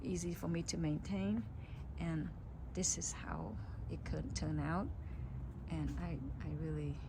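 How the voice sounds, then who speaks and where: Lowish-voiced woman touring her backyard garden. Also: unhurried, creaky, background noise. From Cambodia. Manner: relaxed, chatty, simple phrasing. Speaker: gardening vlogger. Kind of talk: casual garden tour.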